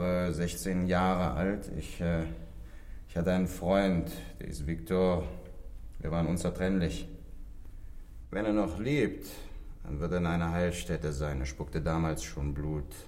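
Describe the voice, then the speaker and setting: An actor playing a condemned gang leader in a radio drama, resigned, bitter and wry, speaking haltingly with pauses. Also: male voice, sits low, darkish tone. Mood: reminiscing. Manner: halting, with pauses and hesitant murmurs. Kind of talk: 1960s German radio drama acting.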